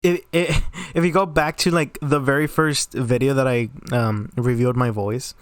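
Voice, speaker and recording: A man's voice: medium pitch, fairly even intonation, moderate pace, smooth, no echo, studio quality. A teenage boy from Mexico with a light Mexican Spanish accent, chatting casually on a podcast, giggling often and restarting his sentences. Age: teens. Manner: giggly, rambling with many restarts. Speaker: podcast host. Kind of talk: casual chat.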